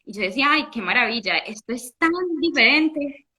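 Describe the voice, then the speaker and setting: A young woman from Italy, a guest in a casual interview, telling her story in an animated way with many restarts. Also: fairly high pitch, moderate pace, slightly rough. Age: young adult.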